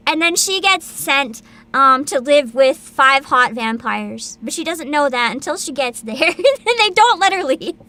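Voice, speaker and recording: A woman streamer in casual livestream chat, excitable and giggly, rambling with frequent laughter. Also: very high voice. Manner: reads a plot description aloud with asides. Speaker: VTuber streamer.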